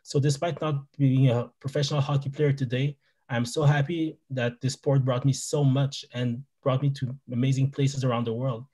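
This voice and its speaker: A man's voice: medium pitch, little pitch movement, moderate pace, warm tone, breathy edge, poor audio. A young man from Quebec with a French-Canadian accent, a native French speaker, giving a friendly presentation as a biologist over a video call with a headset, with many fillers. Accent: Québécois French. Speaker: wildlife biologist guest. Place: small room indoors.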